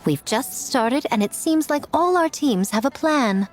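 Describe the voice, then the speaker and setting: A poised, sly woman running a festival in an anime game. Her lively pitch swings as she teases playfully and takes her time. Music plays behind her. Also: unhurried. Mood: playful, teasing. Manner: playful, leisurely teasing.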